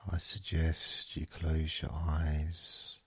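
Male hypnosis host, extremely slow and hushed, husky voice, close dry sound. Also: very deep voice, completely flat delivery, no room sound. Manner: very slow, hushed delivery with long pauses. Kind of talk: slow relaxation hypnosis, soothing.

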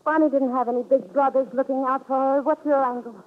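A woman's voice: high-pitched, steady tone, fast, natural voice. An American woman playing a guarded, world-weary young woman in a 1940s radio drama, curt and suspicious. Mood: wary, weary. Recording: tinny, noisy old radio recording.

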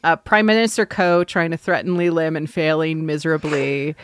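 Low-voiced woman explaining plot points. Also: fairly even intonation, moderate pace, clear, resonant tone. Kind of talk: casual drama recap chat.

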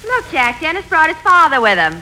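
An actress playing the star's wry, quick-witted female companion in 1940s radio comedy. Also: high voice, very animated, sharp tone, exaggerated voice. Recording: very noisy 1940s radio broadcast.

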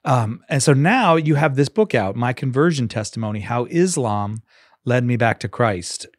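Man, mid-pitched voice, interview host, casual conversational delivery, dry recording. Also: lively intonation, normal speed, no echo.

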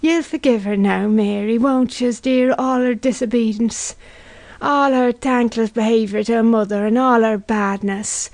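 Woman playing a pious neighbour, drawn-out lamenting. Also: fairly high pitch, fairly even intonation, a little mellow, no room sound. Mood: gushing sympathy. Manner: sobbing, sing-song, churchy lamenting. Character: pious motherly neighbour woman, sanctimoniously effusive in sympathy. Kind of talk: literary audiobook character acting.

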